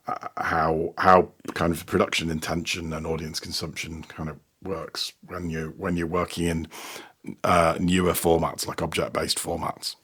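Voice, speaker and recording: Deep, husky man speaking haltingly. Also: a bit bright. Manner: halting, repeats words. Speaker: guest, radio producer and sound designer. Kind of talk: conversational interview.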